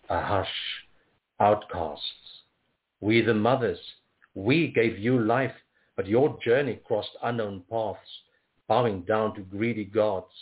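A man from Africa, reading his poems aloud in a measured, rhythmic way. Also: fairly low pitch. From South Africa. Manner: measured, rhythmic recitation with pauses. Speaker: poet. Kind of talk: poetry reading. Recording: tinny phone-like audio.

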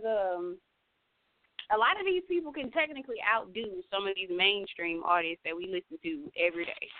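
A woman radio host talks in a relaxed, slangy way, leaning on fillers as she thinks aloud. Her voice sits a touch high and dry. It comes through a thin phone-like line. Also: no room sound. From United States. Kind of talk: casual radio talk. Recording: tinny phone-line sound.

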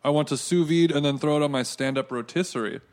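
A man's voice: a little high, quick pace, a little mellow. A man hosting a casual podcast interview, joking and teasing, with some casual swearing. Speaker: podcast host.

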